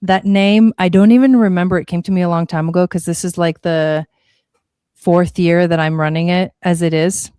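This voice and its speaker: Dry-sounding, lower-voiced woman explaining casually. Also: steady tone, normal speed, dark tone, clean tone, no echo. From born in Argentina, grew up in California. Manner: chatty, frequent "like" and rephrasing. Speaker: guest, spiritual teacher. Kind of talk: casual explanatory interview talk.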